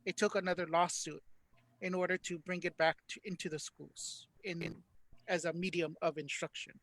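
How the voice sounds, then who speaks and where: A man's voice: sits high, level tone, normal speed, a bit bright, dry recording, low-quality recording. A man from Hawaii, Hawaiian his first language, hosting a panel discussion in an earnest, thoughtful way with many fillers. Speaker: panel host.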